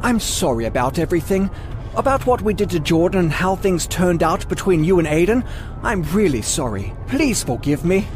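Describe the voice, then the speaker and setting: Here is a man acting as a young man in a narrated romantic drama. His voice sits high and moves around in a very lively way, with some music underneath. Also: normal speed. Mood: apologetic. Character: young men in romantic drama, awkward or sneering. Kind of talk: acted dialogue in a narrated story.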